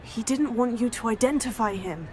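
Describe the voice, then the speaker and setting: A woman playing a kind, supportive teenage schoolgirl witch in a video game, polite, measured and sympathetic. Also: fairly high pitch, animated, darkish tone, a little hiss or hum, natural voice. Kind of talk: video game character acting.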